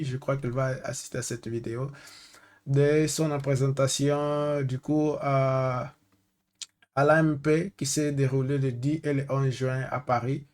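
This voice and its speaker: A man's voice: medium pitch, darkish tone. Hesitant Angolan man teaching tech. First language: Portuguese. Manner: hesitant, searches for French words, many fillers. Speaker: data consultant. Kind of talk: screen-share tech tutorial.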